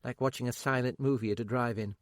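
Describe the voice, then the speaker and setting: A man's voice: medium pitch, fairly even intonation, fast, dry recording, studio quality, natural voice. A man voicing a sardonic woman detective. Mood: wry. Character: dry-humoured female detective sergeant.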